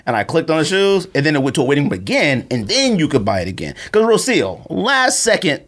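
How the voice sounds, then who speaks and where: Animated, slangy male podcast co-host. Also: a little high, a little fast. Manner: animated storytelling, frequent laughter, slangy. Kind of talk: casual chat about sneakers.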